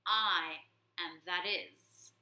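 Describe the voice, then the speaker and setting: Woman playing a commanding warrior mouse, slow and roomy. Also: fairly low pitch, sharp tone, heavily acted. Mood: solemn. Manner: solemn, declamatory, commanding. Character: bold heroic male warrior mouse, solemn and defiant.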